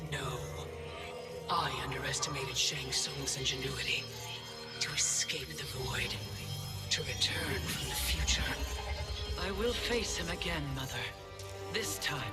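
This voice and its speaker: A regal thunder god weighed down by failure. He speaks slowly and gravely, set back from the mic in a roomy echo. Loud music plays underneath. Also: a little high, far from the mic. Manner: measured, grave.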